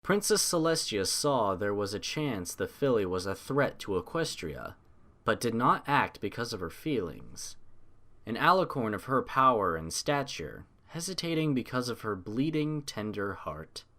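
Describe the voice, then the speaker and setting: A man's voice: on the low side, very animated, a little slow, a bit bright. A man narrating a scripted fantasy story in a dramatic audiobook style.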